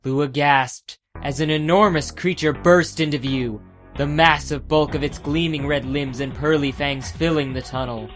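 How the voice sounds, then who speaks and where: A man's voice: fairly high pitch, fairly even intonation, unhurried, fairly bright tone. A man giving scripted, dramatic narration for an audiobook adventure. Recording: music under the narration.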